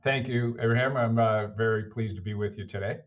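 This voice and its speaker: A man's voice: fairly low pitch, brisk, dull audio, a little hiss or hum. A middle-aged man with an American accent, speaking conversationally and hesitantly as a podcast interview guest. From United States. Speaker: interview guest, senior executive.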